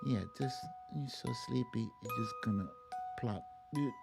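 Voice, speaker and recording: A livestream host commenting casually on clips in a playful, expressive way, with silly exclamations. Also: medium pitch, a little slow, vocal fry, over music.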